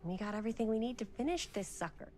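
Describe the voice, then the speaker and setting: A woman's voice: a little high, lively intonation, fast talker, a little mellow, natural voice. An actress voicing a determined young woman in a horror video game who insists, with some urgency, that the job has to be finished. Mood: urgent.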